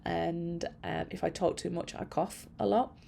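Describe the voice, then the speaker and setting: Raspy, gravelly woman painting. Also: fairly low pitch, steady tone, a little slow, warm tone, a little hiss or hum. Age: middle-aged. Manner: chatty, meandering, self-effacing. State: hoarse from long illness. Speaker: art channel host. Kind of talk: casual painting commentary. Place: at home by a window.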